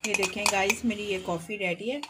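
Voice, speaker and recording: A woman's voice: medium pitch, steady tone, fairly quick, heavy background noise. A woman hosting a home cooking tutorial, talking viewers through each step in a chatty, patient way from her kitchen counter. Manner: chatty, instructive, repeats steps patiently. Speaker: cooking channel host.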